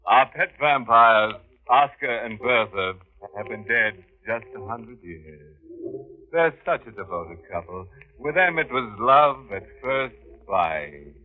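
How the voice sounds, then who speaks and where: A man's voice: mid-range voice, expressive, slow pace. An actor playing a gleefully macabre, mock-genial horror host in 1940s radio style, chuckling over his puns. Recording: dull vintage 1940s radio with music.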